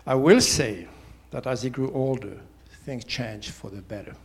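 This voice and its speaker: A man, giving a prepared personal testimony in a measured, deliberate way. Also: medium pitch. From Switzerland. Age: 70s. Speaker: church member giving testimony.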